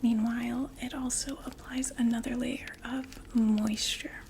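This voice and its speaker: Young woman as a vampire makeup saleswoman for an ASMR roleplay: steady, unhurried whisper, slightly high, faint background hiss. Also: natural voice. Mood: soft, soothing. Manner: slow, gentle, chatty sales talk. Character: female vampire door-to-door makeup saleswoman, calm.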